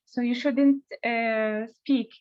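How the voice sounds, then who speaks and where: Woman, participant, video call, slightly high pitch, tinny audio, hesitant. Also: fairly even intonation. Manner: hesitant, many "uh" pauses, nervous laughs. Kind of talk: asking a question on a group call.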